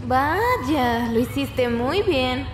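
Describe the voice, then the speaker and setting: A woman's voice: high voice, expressive, very slow, background noise, heavily acted. A young woman playing a tough, snarky outlaw in a Latin American Spanish video game fan dub, blunt and sarcastic. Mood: dry, amused. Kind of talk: amateur fandub of a video game. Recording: echoey room with music.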